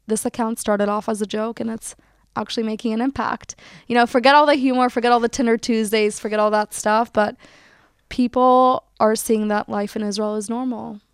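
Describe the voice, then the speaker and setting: Woman, young, slightly high voice, creaky, conversational. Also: fairly even intonation, a little fast, dry recording, clean studio sound. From United States. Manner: chatty, frequent likes and ums. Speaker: social media account creator. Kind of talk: casual interview.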